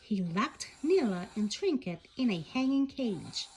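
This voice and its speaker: A woman reading a storybook aloud as scripted narration, in an expressive, animated way. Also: a little high, measured pace, a little hiss or hum. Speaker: bedtime story reader.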